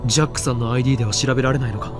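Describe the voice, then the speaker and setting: A man's voice: mid-range voice, steady tone, very fast, over music, lightly acted. A man playing a serious, self-important young lab leader in a Japanese visual novel, speaking in terse, formal phrases. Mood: grave, curious. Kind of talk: anime-style game voice acting.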